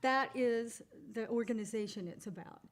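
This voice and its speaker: A middle-aged woman voice actress chatting as a guest in an interview, upbeat and quick to laugh. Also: fairly high pitch. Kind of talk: casual interview chat.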